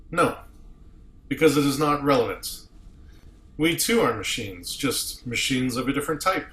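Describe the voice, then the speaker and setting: A man reading the part of a commanding starship captain in a table read, arguing deliberately and rhetorically as a defence advocate. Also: mid-range voice, fairly bright tone, lightly acted. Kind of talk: amateur script table read. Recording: roomy echo with clear background noise.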